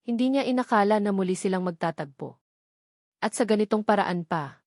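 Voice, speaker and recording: A woman's voice: medium pitch, expressive, brisk, a little mellow, no room sound, studio quality. A woman reading scripted narration of a romance story as its narrator.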